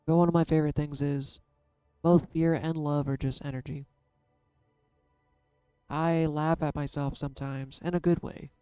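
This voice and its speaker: A soft-spoken man musing aloud in a candid, self-deprecating way as he gives a casual tarot reading. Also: on the high side, level tone, normal speed, long pauses, clean tone, dry recording, faint music behind, low-quality recording. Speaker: tarot reader. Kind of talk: casual reflective vlog.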